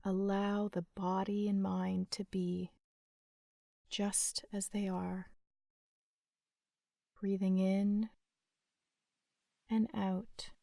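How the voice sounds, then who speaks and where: A woman leading a guided sleep meditation in a gentle, soothing, unhurried manner with long pauses. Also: mid-range voice, gravelly, no room sound, clean studio sound.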